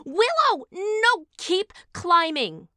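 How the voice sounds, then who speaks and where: An actress playing a competitive, breezy and pushy aunt, a former game show star, in an audio drama. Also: female voice, very high-pitched, sing-song, extremely slow, pushed and bright, dry recording, clean studio sound, full character voice.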